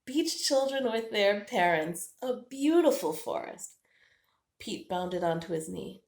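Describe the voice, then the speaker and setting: Slow, animated woman storyteller. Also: medium pitch, vocal fry. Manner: expressive, deliberate storytelling. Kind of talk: children's storybook read-aloud narration. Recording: roomy, echoing indoor sound.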